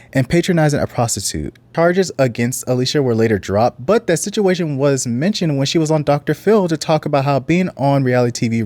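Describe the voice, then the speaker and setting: Man, deep voice, casual commentary host, earnest, reflective, dry close-mic sound. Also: a little mellow, dry recording. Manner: earnest, reflective, sometimes reads out case details.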